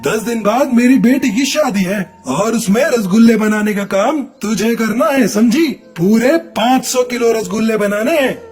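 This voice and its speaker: Man voicing a high-pitched bossy landlord. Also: normal speed, darkish tone, breathy edge, soft background music. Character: bossy landlord giving orders with threats. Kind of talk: Hindi children's moral cartoon acting.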